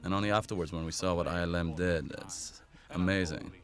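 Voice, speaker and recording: Very deep-voiced man, thoughtful commentary. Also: steady tone, a little fast. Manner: thoughtful, hesitant, searching for words. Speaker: actor and narrator of the film. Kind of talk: relaxed film commentary. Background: faint film soundtrack music.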